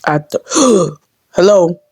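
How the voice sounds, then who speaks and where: A woman's voice: lowish voice, animated, very slow, a little mellow, a little hiss or hum. A woman talking casually to herself in short fragments while she works, now and then humming. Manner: fragmented, humming and vocalizing between short remarks. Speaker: hobby comic dub creator. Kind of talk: casual muttering to self while editing.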